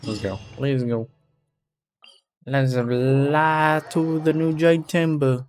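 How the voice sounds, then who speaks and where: A man's voice: mid-range voice, steady tone, slow pace, a little mellow. A man casually commenting on a game as he plays, playfully echoing bits of it. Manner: echoes game dialogue playfully. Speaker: streamer playing and reacting to a game. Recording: game music behind close voice.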